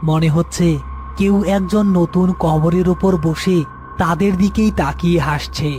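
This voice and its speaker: A man's voice: high-pitched, steady tone, a little slow, a little mellow, faint music behind. A man reading scripted narration for a horror story as narrator and channel host.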